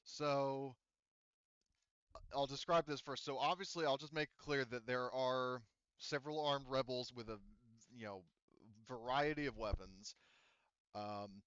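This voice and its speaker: Clear-voiced man narrating a tabletop game. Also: medium pitch, steady tone, fairly bright tone, no echo, highs cut off. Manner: halting, conversational, self-correcting. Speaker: game master of a tabletop roleplaying game.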